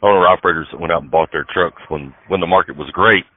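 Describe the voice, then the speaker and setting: A man's voice: low-pitched, fast, breathy edge, no room sound. A man hosting a casual recorded phone conversation, chatting in a folksy, chummy way over a phone line. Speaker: podcast host interviewing a guest. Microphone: phone call. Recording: dull, band-limited phone call recording.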